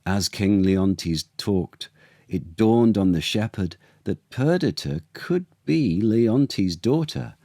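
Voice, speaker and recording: Male actor for children's storytime: deep register, unhurried theatrical delivery, very dry sound. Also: lively intonation, warm tone, no echo. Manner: theatrical, unhurried, with dramatic pauses. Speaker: actor reading aloud as storyteller. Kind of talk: expressive children's storytime narration of a literary tale.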